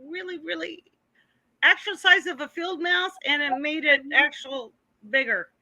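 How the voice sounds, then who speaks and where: A woman's voice: high-pitched, a little slow, sharp tone, dry recording, rough recording. A woman guest on a livestream panel, joking crudely in casual chat.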